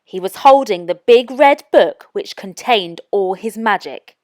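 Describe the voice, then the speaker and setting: A woman's voice: medium pitch, expressive, measured pace, darkish tone. A woman presenting storytime, reading aloud expressively for children.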